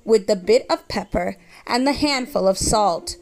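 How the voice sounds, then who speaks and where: A woman reading a children's story aloud in an expressive, measured storytelling way. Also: medium pitch, low-quality recording. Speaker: storybook reader.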